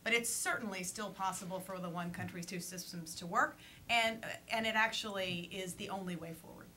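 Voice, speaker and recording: Bright-voiced woman on a panel. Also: mid-range voice, slight background noise. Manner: thoughtful, pauses with fillers and restarts. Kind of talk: panel discussion.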